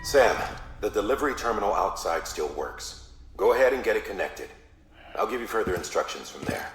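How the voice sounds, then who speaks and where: An actor playing a steady commander who gives authoritative mission briefings in a video game. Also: male voice, fairly low pitch, brisk. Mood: firm briefing. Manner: instructive, authoritative. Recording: long reverb, faint noise.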